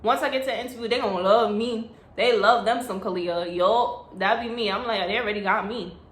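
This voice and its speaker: A woman's voice: medium pitch, fairly quick. A young woman giving blunt, chatty job advice in a casual vlog, recorded on a phone. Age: young adult. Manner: chatty, blunt and sassy, acts out voices. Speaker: vlogger. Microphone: phone camera. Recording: echoey room.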